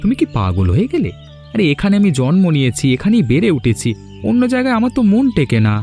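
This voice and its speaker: A man's voice: sits high, huge pitch swings, fast, mellow, soft background music, exaggerated voice. A man playing a cheerful, devoted young student son in a cartoon, eager and affectionate. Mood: happy, affectionate. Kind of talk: Bengali fairy tale cartoon dubbing.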